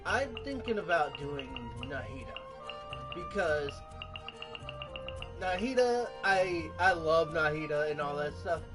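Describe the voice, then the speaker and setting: A man's voice: on the high side, expressive, slow. A man giving casual gameplay commentary as the host of a gaming video. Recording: music behind the voice.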